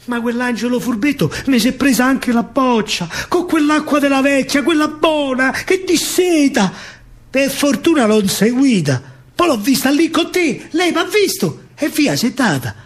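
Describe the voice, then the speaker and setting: A man's voice: very high-pitched, very animated, normal speed. A man with a Roman accent performing a dramatic, rhythmic verse monologue as a soldier recounting a battle. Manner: declamatory, rhythmic, theatrical. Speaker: storyteller actor. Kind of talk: dramatic verse monologue with live music, radio theatre. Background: music played live.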